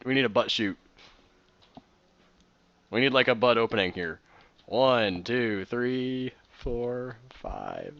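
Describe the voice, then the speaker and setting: Excitable guy in his 30s rambling while he plays, voice jumps all over, dry muffled mic. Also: mid-range voice, vocal fry, no room sound. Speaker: Minecraft builder and streamer. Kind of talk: casual commentary while gaming.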